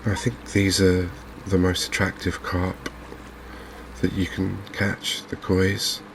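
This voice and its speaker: Low, monotone, soft-spoken man. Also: slow pace, noisy background. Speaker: gaming video host. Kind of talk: softly spoken ASMR game commentary.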